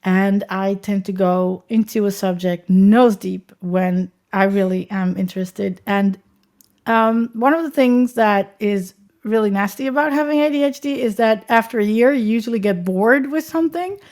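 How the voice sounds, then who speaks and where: A woman's voice: medium pitch, moderate pace, darkish tone. A woman with a Dutch accent, speaking as a guest in a podcast interview, chatty and rambling with frequent ums. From Netherlands. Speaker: guest, accessibility consultant.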